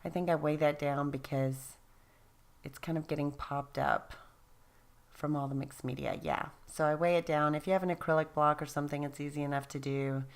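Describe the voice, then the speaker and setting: A woman narrating her craft project in a casual voice-over, tired and a little raspy, chatty and self-deprecating. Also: low voice, steady tone, normal speed. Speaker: craft video host.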